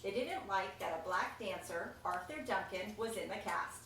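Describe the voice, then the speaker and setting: A woman reading a children's picture book aloud, expressive and chatty, with casual asides about the pictures. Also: mid-range voice, moderate pace. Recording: echoey room, distant mic, faint noise.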